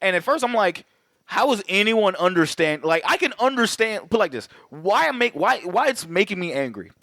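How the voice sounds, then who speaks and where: A man's voice: high voice, sing-song, brisk, a bit bright, no room sound, clean studio sound. A young man co-hosting a podcast, rambling in casual chat with many restarts. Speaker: podcast co-host.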